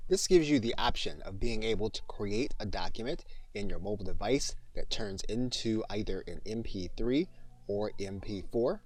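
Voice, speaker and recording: A man's voice: fairly low pitch, a little mellow, dry recording. A man giving scripted tutorial narration as an online course instructor, explaining things in an orderly way.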